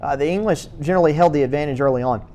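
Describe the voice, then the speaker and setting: A man giving a church history lecture to a class, speaking in a halting way, restarting words and filling pauses with uh. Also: fairly high pitch, warm tone, highs cut off. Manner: halting, repeats words, many uh fillers.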